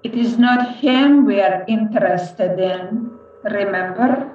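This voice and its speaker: A woman's voice: mid-range voice, slow, close to an everyday voice. A woman playing a seductive, scheming Russian agent ghost in a radio play, with a Russian accent and a purring, taunting delivery. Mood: smug. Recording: dull, echoey, distant, slightly noisy recording.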